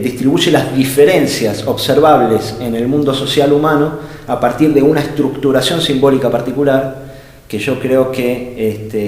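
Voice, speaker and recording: A man's voice: mid-range voice, darkish tone. A man giving an unscripted philosophical talk, hesitating often and searching for words with fillers and restarts. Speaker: speaker at an art-gallery think tank. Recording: echoey hall with long reverb.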